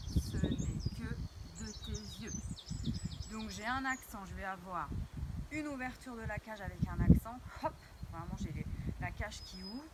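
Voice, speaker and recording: Woman, dance teacher, demonstrating moves, counting aloud, slightly high voice, faint background noise. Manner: demonstrates while talking, counting beats and sung syllables. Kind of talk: step-by-step dance instruction.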